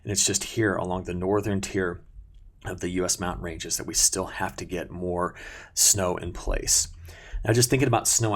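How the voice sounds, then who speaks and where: Male forecaster, very low register with gravelly fry, conversational and explanatory, briefing viewers through weather maps. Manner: conversational, unscripted, pointing things out.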